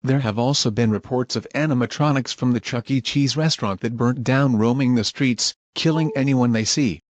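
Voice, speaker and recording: A man playing a grim emergency alert announcer, reading scripted warnings in a formal, measured way. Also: medium pitch, dry recording, lo-fi sound, lightly acted. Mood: grave. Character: grim emergency alert announcer, dutiful, irritable when crossed. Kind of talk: scripted emergency broadcast narration.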